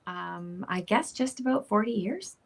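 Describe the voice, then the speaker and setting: A woman in her fifties who is a guest on a casual livestream and speaks softly. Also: mid-range voice, animated, a little slow, creaky. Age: 50s.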